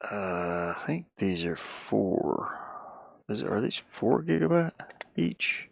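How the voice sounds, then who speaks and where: A man with a low voice and a gravelly creak talks as a streamer in casual chat. He rambles and thinks out loud. The sound is dry and close, with little room around it. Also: sing-song, takes it slowly, no room sound. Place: inside a van he lives in.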